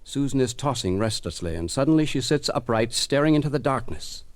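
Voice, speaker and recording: A man announcing and narrating an old radio drama in a formal, deliberate, dramatic 1940s style. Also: mid-range voice, lively intonation, no room sound. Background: musical instrument.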